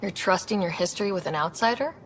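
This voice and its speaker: An actress playing a young, wry human expedition leader in a video game, talking in a casual, natural way. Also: female voice, fairly low pitch, fast talker, close to an everyday voice. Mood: earnest, wry. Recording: clear background noise.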